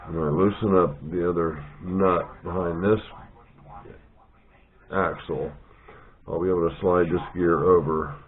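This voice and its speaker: A man's voice: low-pitched, steady tone, measured pace, long pauses. A man demonstrating gear setup in his workshop, thinking aloud as he works and trailing off mid-sentence. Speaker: hobby machinist. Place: home workshop, indoors. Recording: tinny, phone-like sound.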